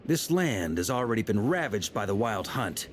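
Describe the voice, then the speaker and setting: An actor playing a confident knight leader who explains things in a measured, authoritative way. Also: male voice, fairly low pitch, sing-song, a little hiss or hum. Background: game music.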